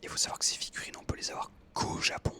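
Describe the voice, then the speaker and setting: A man in his twenties whispering a relaxed personal story while out on an evening walk, hesitating often with fillers. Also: quick pace. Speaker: ASMR creator.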